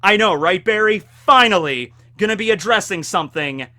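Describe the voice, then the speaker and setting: A man hosting a video, talking to viewers in a casual, slangy, animated way like a fan giving commentary. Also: high-pitched, moderate pace. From United States. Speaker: video host reviewing a light novel.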